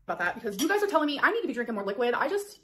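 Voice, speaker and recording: A woman's voice: fairly high pitch, big pitch swings, rapid-fire, a bit bright, slight background noise. A woman in her thirties chatting to viewers in a casual cooking and eating vlog, filmed in her home kitchen. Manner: chatty, talking straight to viewers. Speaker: vlogger in the reacted video.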